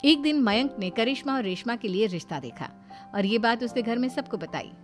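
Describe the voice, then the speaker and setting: High-voiced woman narrating a story over music. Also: expressive, brisk. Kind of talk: scripted narration of a family drama cartoon.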